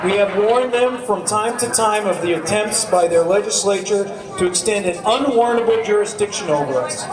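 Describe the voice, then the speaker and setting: A man's voice: high-pitched, moderate pace. An American man reciting and speaking publicly to a gathering. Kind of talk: public recitation and address to a crowd. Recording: echoey, distant mic amid crowd noise.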